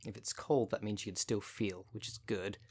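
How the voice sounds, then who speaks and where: A man's voice: on the low side, steady tone, normal speed, a little mellow, slightly rough. A man playing a wry, caring, foul-mouthed necromancer who coaches a zombie fighter in a casual, chatty ASMR audio roleplay. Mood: wry, reassuring. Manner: chatty, colloquial, wry, consoling.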